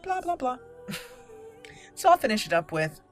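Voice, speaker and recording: A woman's voice: sits high, big pitch swings, normal speed, faint music behind. A woman hosting a gaming channel, giving casual, playful commentary on a roleplay game in a chatty way.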